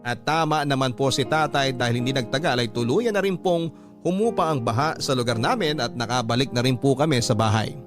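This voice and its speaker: Guy reading a listener letter like classic radio storytelling, medium pitch, music playing behind him. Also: a little fast. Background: background music.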